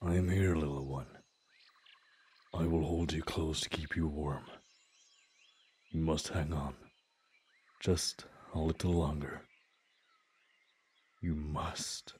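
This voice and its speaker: A man playing a tender, desperate father in a fantasy audio drama, speaking in an earnest, halting, hushed husky voice. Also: very deep voice, steady tone, full character voice.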